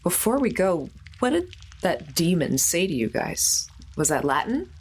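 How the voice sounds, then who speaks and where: A woman's voice: on the low side, very animated, a little slow, breathy edge. A woman playing a tabletop role-playing game, improvising casually in character and narrating her character's actions.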